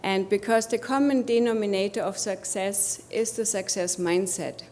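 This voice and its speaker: A woman's voice: medium pitch, normal speed, darkish tone, a little hiss or hum. German-accented woman giving a motivational talk. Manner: addresses audience with rhetorical questions. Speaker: conference speaker. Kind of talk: motivational conference presentation.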